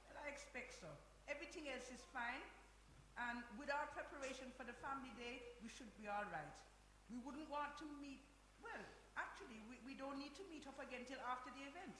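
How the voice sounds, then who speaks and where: A woman's voice: high-pitched, a little fast, fairly bright tone. A woman acting in a radio play as a bossy, upbeat community centre organiser. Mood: brisk, organising. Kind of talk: radio drama performed before an audience. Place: hall, recorded live. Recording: echoey hall with background noise.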